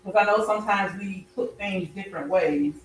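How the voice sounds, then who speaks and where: A middle-aged woman chatting casually as she cooks, her voice slightly raspy as it recovers. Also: mid-range voice, moderate pace, reverberant, a little hiss or hum, poor audio. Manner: chatty, warm greetings and blessings to viewers. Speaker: health coach and vlogger. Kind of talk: casual cooking vlog chat. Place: home kitchen.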